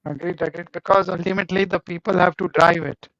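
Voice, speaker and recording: A man's voice: sits high, steady tone, fast, fairly bright tone. A man hosting a live video-call interview, asking questions hesitantly with many fillers. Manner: hesitant, frequent uh fillers between phrases. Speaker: host, interviewer. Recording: dull dry video-call audio.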